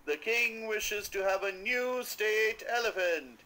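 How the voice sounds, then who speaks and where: A man's voice: sits high, slow, bright, forceful tone, voice pushed up, heavily acted, poor audio. A man voicing the king's heralds, bellowing a proclamation through the streets in a storybook reading. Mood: proclaiming. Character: king's heralds, loud male town criers.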